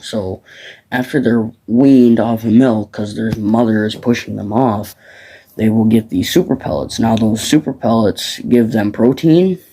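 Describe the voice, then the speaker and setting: A man's voice: on the low side, a little slow, dark tone, husky, poor audio. A teenage boy from the Midwest, rambling casually about farms outdoors at dusk, with lots of fillers and restarts. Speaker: farm vlogger.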